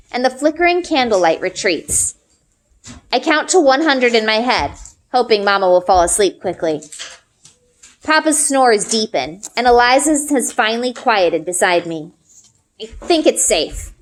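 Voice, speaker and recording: A woman reading a story aloud expressively, as a teacher would, acting out the dialogue. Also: on the high side.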